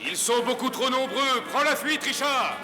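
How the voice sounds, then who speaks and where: A man voicing a loyal, seasoned outlaw companion in a French animated dub, matter-of-fact and self-assured. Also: very high-pitched, moderate pace, music in the background. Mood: urgent.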